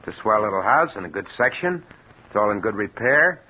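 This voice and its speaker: An actor playing an urgent, devoted working-class husband in 1950s radio drama style, on a muffled, hissy old recording. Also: male voice, on the low side, big pitch swings, fairly quick, breathy edge. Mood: desperate, pleading. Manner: urgent, insistent, colloquial.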